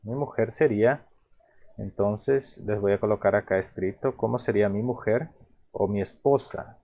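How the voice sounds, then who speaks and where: Paraguayan man teaching Guarani, low voice. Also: warm tone. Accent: Paraguayan Spanish. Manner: halting, repeats words, restarts phrases. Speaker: language teacher. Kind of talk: explaining vocabulary in a video lesson.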